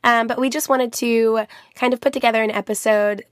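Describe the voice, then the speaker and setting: A young adult woman co-hosting a podcast in casual chat, her voice hoarse and fading from illness. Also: a little high, fairly even intonation, fairly quick, dry recording, studio quality. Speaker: podcast co-host.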